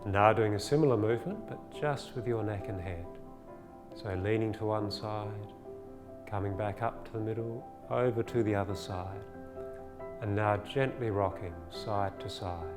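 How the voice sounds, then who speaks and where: A man with a fairly low voice leads a guided meditation. He speaks in a soothing, unhurried way and leaves pauses between instructions. His voice carries a long reverb, and faint music plays behind it. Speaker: meditation teacher. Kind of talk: guided body scan meditation.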